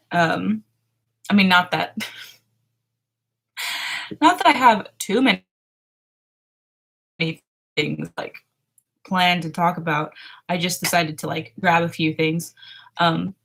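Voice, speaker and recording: A woman's voice: fairly low pitch, a bit bright. A young woman in her twenties chatting casually on a morning livestream from her bedroom, laughing often and trailing off with fillers. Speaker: livestream host. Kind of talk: casual chat with chat readers. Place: small home bedroom, indoors. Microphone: webcam framing at desk.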